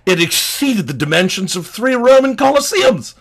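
A man playing a pompous, eccentric antiquities scholar, easily thrilled, with a halting, stammering, digressive delivery in a comedy lecture. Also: high-pitched, big pitch swings, gravelly, a bit bright, full character voice. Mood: dramatic, astonished. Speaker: guest. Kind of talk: improvised-sounding comic lecture in character.

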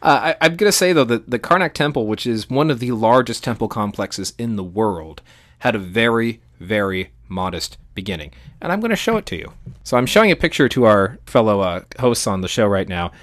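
A man's voice: on the low side, lively intonation, a little fast, a bit bright, dry recording, studio quality. A man co-hosting a podcast, explaining history in an enthusiastic, conversational way. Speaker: podcast co-host.

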